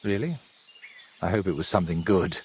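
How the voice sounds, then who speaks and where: A sardonic, secretive painter from a medieval game drama. His low voice moves expressively, wry and evasive, with faint outdoor ambience behind him. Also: animated, brisk, a little mellow, slight background noise. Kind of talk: dramatic dialogue in a cinematic historical game.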